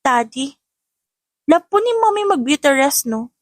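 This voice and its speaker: Woman putting on a high, eager little child. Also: big pitch swings, unhurried, no room sound, clean studio sound. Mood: sweet pleading. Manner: pleading, cheerful, childlike. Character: eager, sweet five-year-old children, girls and boy.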